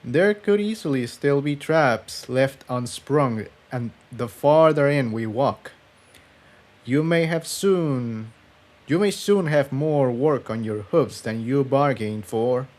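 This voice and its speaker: A cautious unicorn stallion from a storybook , read by a man, with a dry, wry delivery. He talks in a low-key way at a medium pitch. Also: animated, takes it slowly, a little mellow. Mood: wry warning. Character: wry, cautious male unicorn pony tinkerer.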